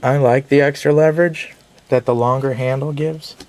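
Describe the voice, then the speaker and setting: A man's voice: mid-range voice, steady tone, normal speed, a little mellow. A man, a little sick and losing his voice, giving a rambling casual knife review with frequent self-corrections.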